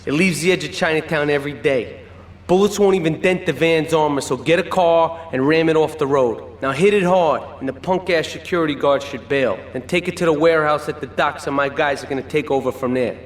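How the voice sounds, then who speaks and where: An actor playing a cocky young mobster and mechanic, smug and casual. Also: male voice, a little high, a little fast, reverberant, long reverb tail, close to an everyday voice.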